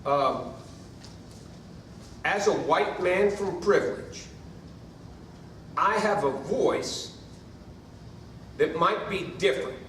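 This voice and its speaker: Male college coach on a panel, earnest and reflective, fairly high voice, recorded from far off in an echoey room. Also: slow, long pauses, fairly bright tone. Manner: earnest, reflective, repeats phrases for emphasis. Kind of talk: panel discussion answer. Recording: echoey room, mic far off, faint noise.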